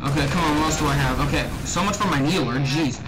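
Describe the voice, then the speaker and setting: Young male gamer, casual commentary, fairly high voice, self-deprecating grumbles, loud game soundtrack behind. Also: fast talker, poor audio. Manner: reactive, grumbling, self-mocking. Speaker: let's play gamer. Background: video game music, gunfire.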